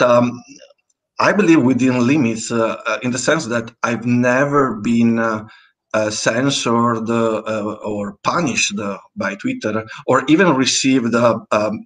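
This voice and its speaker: A man's voice: medium pitch, fairly bright tone. A man with an Italian accent, a native Italian speaker, talking as a guest artist in a casual interview, hesitant and full of fillers and restarts. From Italy.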